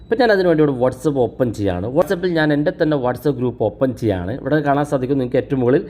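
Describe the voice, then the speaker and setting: Fast-talking man presenting a tutorial. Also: mid-range voice, animated, dark tone. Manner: instructional, addresses viewers directly. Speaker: tech tutorial host. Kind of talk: explanatory phone-app tutorial narration.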